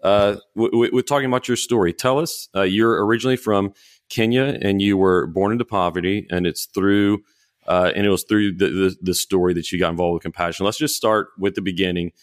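A man's voice: low-pitched, fairly even intonation, a little fast, no room sound, clean studio sound. A man hosting a podcast interview in a conversational way, often restarting his phrases as he talks. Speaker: podcast host.

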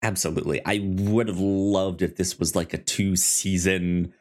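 A man with a low voice talks as a podcast host in a casual review style. His delivery is halting, and he often stammers over the first sounds of words before moving on. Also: steady tone, a little mellow. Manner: halting, stammering with repeated word starts.

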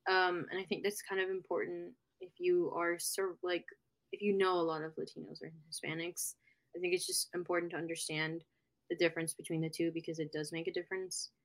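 Young american woman guesting on a podcast, kinda low voice, chatty, lots of likes. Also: a little fast. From United States. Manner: chatty, animated, frequent filler words. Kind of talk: casual interview chat.

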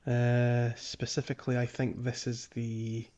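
A man with a mid-range voice co-hosts a gaming podcast. He talks casually and explains things haltingly, restarting his sentences as he goes. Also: medium pitch, monotone, a little mellow, muffled recording. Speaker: podcast co-host. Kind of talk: casual chat about a video game.

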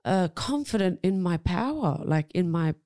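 A woman's voice: on the low side, lively intonation, unhurried, warm tone, no room sound, studio quality. A woman from Lebanon with a Lebanese Australian accent and Arabic as her first language, talking candidly and expressively as an interview guest, often breaking off and restarting. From Lebanon, moved to Australia at thirteen. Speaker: guest, actor.

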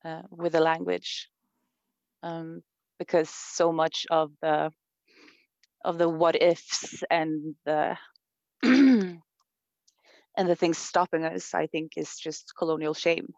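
A woman's voice: deep voice, steady tone, slow pace, vocal fry, long pauses, a little mellow, dry recording, lo-fi sound. A woman from Sweden with a Swedish accent, speaking thoughtfully in an interview, with many fillers and restarts. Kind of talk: reflective conversation.

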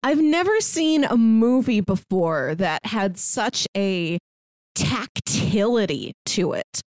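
A woman's voice: medium pitch, animated, slow, darkish tone, dry recording. Woman podcaster in casual film chat, dry sound. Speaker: film review podcast co-host.

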